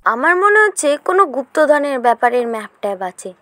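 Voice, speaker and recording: A woman playing a curious, imaginative older sister, a young girl, in an audio drama, excitable and chatty. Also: sits high, a little fast, no room sound. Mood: excited. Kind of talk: Bengali audio drama acting.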